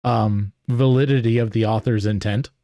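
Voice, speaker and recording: A man in a casual panel discussion, speaking in a halting, deliberate way as he qualifies his points. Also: lowish voice, steady tone, warm tone, no echo, studio quality. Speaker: podcast panelist.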